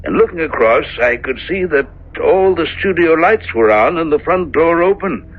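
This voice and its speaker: An older man playing a gentle, uneasy minister father in a 1970s radio drama, halting and solemn. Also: a little high, lively intonation. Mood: haunted, solemn. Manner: halting, solemn, deliberate phrasing. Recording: dull old radio recording with music.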